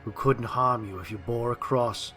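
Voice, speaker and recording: A man's voice: medium pitch, steady tone, moderate pace, sharp tone, soft background music. A man reading a scripted historical ghost story as a dramatic narrator.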